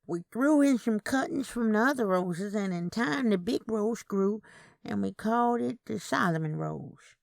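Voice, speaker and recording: A woman voicing a frail, wistful twin aunt in her eighties with a soft, sing-song manner, in a Southern Gothic story. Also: medium pitch, expressive, unhurried, gravelly, dry recording, studio quality, voice pushed up. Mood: soft confession. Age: 80s.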